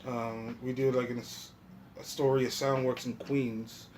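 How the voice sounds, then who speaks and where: Male podcast host, medium pitch, informal and foul-mouthed chat, audible ambient noise. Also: little pitch movement, a little slow, darkish tone, low-quality recording. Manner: profane, slangy, informal talk. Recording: clear background noise.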